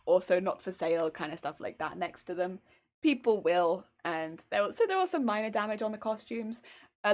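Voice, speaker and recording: A young English woman with a faint Welsh tinge to her accent, chatting casually and giggling on a crafting livestream. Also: medium pitch. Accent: English, slightly tinged with Welsh. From England. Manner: chatty, giggly, rambling with fillers. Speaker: cosplay streamer.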